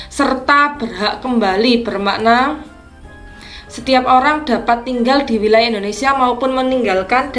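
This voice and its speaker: A woman teacher going through practice exam questions, explaining each answer slowly and deliberately. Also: a little high, fairly bright tone.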